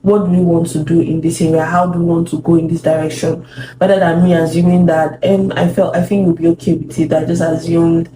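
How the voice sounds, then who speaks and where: Thoughtful agreeable wife. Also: female voice, lowish voice, level tone, fairly quick. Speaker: co-host, wife. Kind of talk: casual talk on marriage advice. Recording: echoey room with background noise.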